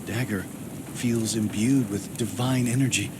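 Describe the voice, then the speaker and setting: A man's voice: on the low side, steady tone, normal speed, close to an everyday voice. An actor playing a devout, brooding video game hero in an everyday male voice, solemn and searching. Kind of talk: video game character monologue. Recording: clear rain and ambient noise behind.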